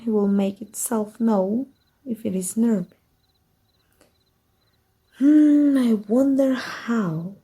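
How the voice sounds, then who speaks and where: A woman from Mexico voicing a cunning dark magic teacher in an ASMR roleplay, speaking softly and measured, sometimes dropping to a whisper. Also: medium pitch, slightly rough. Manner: soft, measured, drops to whispers. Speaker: voice actor.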